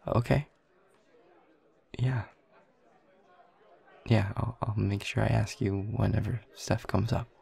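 Casting: male actor as bashful young office newcomer with a crush, low husky fry, halting and self-deprecating, audio roleplay. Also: fairly even intonation, dark tone, some chatter behind, full character voice. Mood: flustered, grateful. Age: young adult. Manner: hesitant, flustered, stammering, nervous chuckles. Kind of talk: intimate audio roleplay, one side of a conversation.

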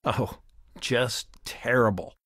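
A man playing a gentle, regretful middle-aged father in a video game, speaking in a reflective, conversational way. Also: medium pitch, slow pace, no room sound, clean studio sound. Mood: tender, wry.

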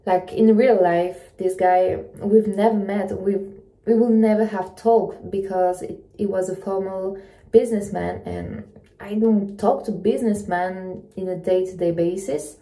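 A woman chats casually to camera, haltingly searching for words in English. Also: medium pitch, fairly even intonation, mellow. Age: 20s. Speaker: travel vlogger. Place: small indoor room at night. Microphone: phone propped in front of her. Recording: echoey small room.

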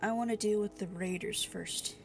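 A woman's voice: medium pitch, steady tone, moderate pace, vocal fry, faint music behind. Stuffy young woman rambling over a game. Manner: rambling, sleepy, many fillers. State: stuffy from a cold. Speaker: gaming streamer. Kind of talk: casual let's-play commentary.

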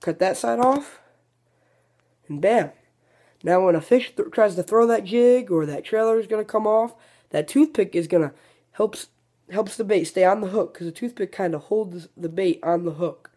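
A man's voice: high-pitched, moderate pace. A man hosting a fishing video, casually demonstrating a tackle trick step by step and often restarting his sentences. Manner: relaxed, rambling, self-correcting explanations.

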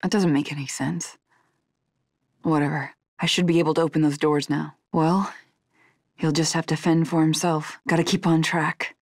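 Low-pitched young woman, guarded survival-horror game agent, serious, terse, determined, dry close sound. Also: flat delivery, moderate pace, no room sound. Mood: tense, brooding. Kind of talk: video game voice acting.

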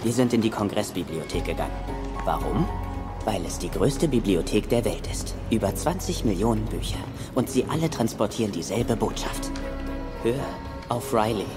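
A man's voice: lowish voice, lively intonation, moderate pace, natural voice. Guy playing a jittery sarcastic young sidekick in an adventure movie dub, music behind him. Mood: insistent. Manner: sarcastic, stammering when agitated. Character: nervous, wisecracking young male sidekick to a treasure hunter. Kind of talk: German film dubbing.

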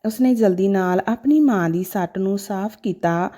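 A woman's voice: mid-range voice, normal speed, warm tone. A woman narrating a scripted, sentimental story in a dramatic storytelling style.

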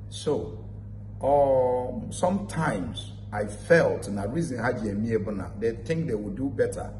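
A middle-aged man with a Nigerian Igbo accent, earnestly giving advice in an interview, mixing Igbo and English. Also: mid-range voice. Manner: earnest, preachy advice, rising to emphatic. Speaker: musician guest. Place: large ornate indoor room. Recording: echoey room with noise.

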